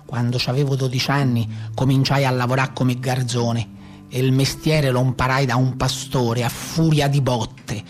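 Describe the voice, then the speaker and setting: A man's voice: mid-range voice, little pitch movement, normal speed, faint music behind, lightly acted. An actor playing a rustic bandit with a Tuscan accent, telling his hard life in a plain, folksy theatrical monologue. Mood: earnest recollection. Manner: plain-spoken, folksy storytelling. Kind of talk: theatrical monologue in a radio play.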